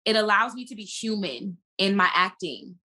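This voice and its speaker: Bright-voiced woman, emphatic podcast guest. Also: medium pitch, lively intonation, moderate pace. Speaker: guest, stage and screen actress. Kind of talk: casual podcast conversation.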